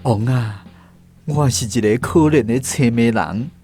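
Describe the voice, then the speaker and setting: An actor with a Taiwanese accent playing a wretched, submissive wanderer and father in a radio drama, pleading in drawn-out phrases. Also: medium pitch, a little mellow, soft background music, natural voice. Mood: humble pleading.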